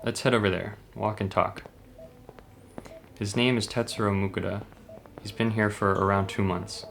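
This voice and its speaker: Man acting low, gravelly, composed doctor. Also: level tone, a bit bright, a little hiss or hum. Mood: musing. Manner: measured, clinical, reserved. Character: composed, sceptical male hospital doctor, quietly pensive. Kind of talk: horror manga dub acting and narration.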